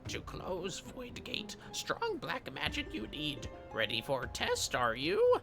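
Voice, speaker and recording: Eerie little creature sorcerer, high swooping singsong, very slow and halting. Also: male voice, huge pitch swings, gravelly, over music, exaggerated voice. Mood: eerie, knowing. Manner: halting, inverted syntax, singsong.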